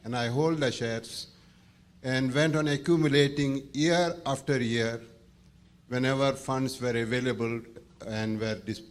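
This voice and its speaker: A man's voice: mid-range voice, steady tone. Formal man at the microphone. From India. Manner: formal and elaborate, a little halting. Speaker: shareholder asking a question. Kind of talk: formal question from the floor. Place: large meeting hall.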